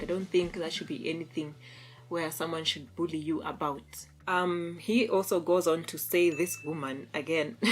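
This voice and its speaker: A young woman from Kenya chatting casually as a vlogger, reading out posts, laughing often and stopping to search for words. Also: low voice. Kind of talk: casual commentary reading tweets.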